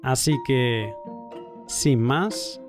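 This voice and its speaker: A man hosts a podcast in a relaxed, measured way. His voice sits in a middle pitch, he often pauses to rephrase, and a little music plays softly behind him. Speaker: podcast host. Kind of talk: podcast intro and conversational interviewing.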